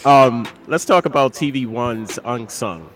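A man's voice: medium pitch, a little slow, fairly bright tone. A man co-hosting a casual chat show, asking the guest questions in an easygoing way. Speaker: podcast co-host.

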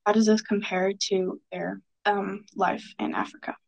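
A woman leads a student book discussion, halting often with filler sounds as she sums up chapters. Her voice sits in the middle of the range. Her pitch stays flat and even. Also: gravelly, poor audio. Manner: hesitant, many filler sounds.

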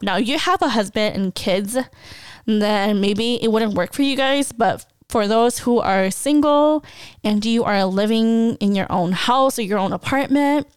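A woman's voice: medium pitch, clear, resonant tone, no echo. A woman in her twenties talking casually as a podcast host, chatty, laughing often and restarting her sentences. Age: 20s. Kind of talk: casual personal talk.

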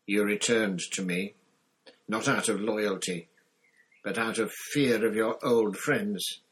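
A cold, commanding dark wizard from a fantasy audiobook, acted out by a male reader. He speaks very slowly and deliberately in a low voice, softly mocking and full of menace. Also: breathy edge, full character voice. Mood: cold, menacing. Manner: soft-spoken, deliberate, menacing.

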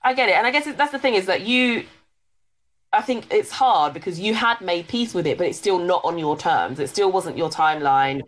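A woman's voice: lowish voice, a little fast. A woman in her late twenties co-hosting a chatty podcast, candid and reflective, and she speaks to the listeners directly. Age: late 20s. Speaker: podcast co-host. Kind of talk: casual chat.

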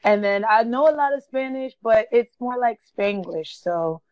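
A woman's voice: on the high side, animated, normal speed, no echo. Chatty New woman, lo-fi sound. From Brooklyn, New York. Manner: chatty, laughs often, frequent "like" and "um". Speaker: guest, blogger. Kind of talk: casual podcast interview. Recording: dull, dry lo-fi sound.

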